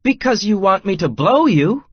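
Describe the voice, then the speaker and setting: A woman voicing an exasperated, guarded young love interest in a romance audiobook, with a breathy voice. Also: medium pitch, very animated, normal speed, dry recording, muffled recording. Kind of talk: acted audiobook dialogue.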